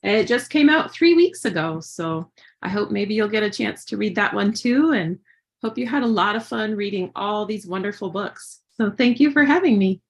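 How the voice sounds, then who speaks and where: A woman's voice: medium pitch, lively intonation, moderate pace. A woman, a children's book author, making informal thank-you remarks.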